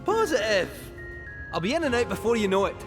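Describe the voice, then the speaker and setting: An actor voicing a small, plucky, cheerful talking cat with a Scottish accent and a jaunty manner in a video game. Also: male voice, high-pitched, big pitch swings, a little fast. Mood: cheerful confidence. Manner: jaunty, exclamatory, reassuring. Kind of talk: video game character acting. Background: music.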